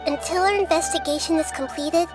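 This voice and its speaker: A woman voicing a gentle, wise young girl deity in a video game, speaking softly in a measured, thoughtful and hesitant way. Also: very high voice. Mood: calm, thoughtful. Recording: music behind the voice.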